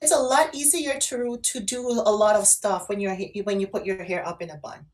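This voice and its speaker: A woman's voice: medium pitch, normal speed. A woman co-hosting an online radio show, talking in a casual, conversational style. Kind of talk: casual chat and listener shout-outs.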